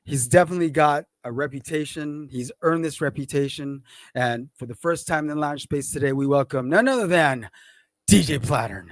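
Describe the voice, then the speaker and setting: A man's voice: a little high, normal speed, no echo. A man hosting a casual hip hop interview show, emphatic and slangy, repeating phrases for effect. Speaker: podcast and livestream host.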